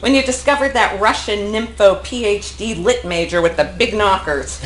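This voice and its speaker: Woman acting a brash, animated, teasing wife. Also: mid-range voice. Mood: mocking. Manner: mocking, exclamatory, quick to tease. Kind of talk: stage acting in a one-act comedy play. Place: indoor theater stage, recorded live. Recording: roomy live theater recording, faint noise.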